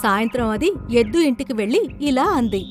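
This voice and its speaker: High-pitched woman narrating a children's story. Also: expressive, fast talker. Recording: prominent music behind the voice.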